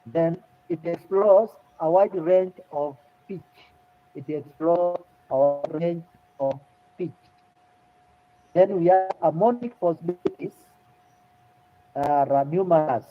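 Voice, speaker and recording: A man lecturing to students, explaining things deliberately and repeating key points. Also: a little high. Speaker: music lecturer. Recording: tinny phone-like audio.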